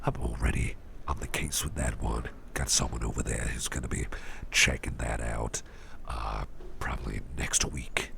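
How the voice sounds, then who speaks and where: Man voicing a gravelly, very deep whispering boss. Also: fairly even intonation, normal speed, sharp tone, a little hiss or hum, exaggerated voice. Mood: calm, scheming. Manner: hesitant, rambling, politely genteel. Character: Grimwald, eager, diplomatic boss and artefact dealer. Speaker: ASMR roleplay performer. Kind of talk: whispered, rambling ASMR roleplay.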